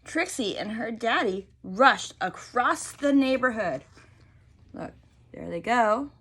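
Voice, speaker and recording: A woman teacher reading a picture book aloud to young children, enunciating deliberately and prompting them with questions. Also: on the high side, animated, fairly bright tone, slightly rough.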